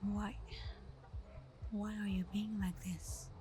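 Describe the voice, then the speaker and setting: A woman playing a caring, hurt vampire girlfriend in an ASMR roleplay, speaking softly and tenderly, often half whispering, with hesitant pauses. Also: medium pitch, level tone, soft background music, natural voice. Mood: worried, hurt. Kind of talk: ASMR roleplay, intimate scripted acting.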